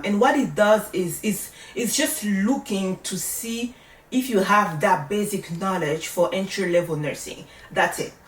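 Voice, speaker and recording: A woman with a French accent, a native French speaker, giving casual advice as a vlogger in a reassuring, emphatic way. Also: medium pitch. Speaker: vlogger, nursing study coach. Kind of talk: casual advice talk to camera. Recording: roomy echo, distant microphone.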